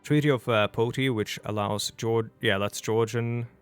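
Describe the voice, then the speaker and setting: A young Norwegian man talks through a strategy game in English with a Norwegian accent, chatty and meandering, often breaking off to start a sentence again. His voice sits at an ordinary middle pitch. Soft music plays faintly under him. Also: fairly even intonation, normal speed, smooth. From Norway. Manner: chatty and rambling, with frequent restarts. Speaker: let's play host. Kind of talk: casual gameplay commentary. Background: faint background music.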